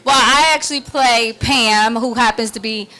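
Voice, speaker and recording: A woman's voice: fairly high pitch, moderate pace, a crowd in the background, poor audio. Sassy woman talking casually in an interview. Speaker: actress being interviewed.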